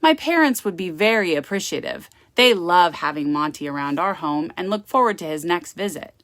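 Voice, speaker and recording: A woman's voice: fairly low pitch, expressive, normal speed, a bit bright, no room sound. Gothic audiobook role: winning, determined young man, polite but pushy, dry close sound, acted by a female reader. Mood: charming, insistent. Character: charming, cocky, determined young man from university. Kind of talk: gothic romance storybook reading.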